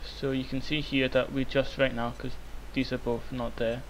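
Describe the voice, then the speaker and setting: Young guy, croaky sick voice, flat delivery, cheap mic with a bit of hiss. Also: mid-range voice, normal speed, low-quality recording. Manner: rambling, many ums. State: hoarse from illness. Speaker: tutorial maker. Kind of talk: screen-recorded software tutorial. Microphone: cheap microphone.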